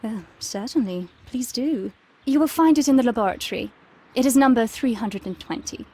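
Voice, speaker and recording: A young lady researcher in a detective game. Her voice sits a little high. She answers with polite composure and careful precision. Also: lively intonation, moderate pace, a little mellow, slightly rough. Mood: polite, composed. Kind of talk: scripted game dialogue, giving testimony.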